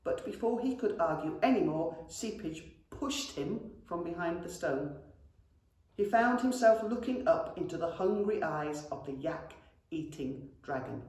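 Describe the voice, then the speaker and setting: A woman's voice: on the low side, a little mellow. A middle-aged woman, a schoolteacher, reading a children's story expressively and addressing children affectionately. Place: indoor school classroom. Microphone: camera a few metres away. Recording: very echoey classroom.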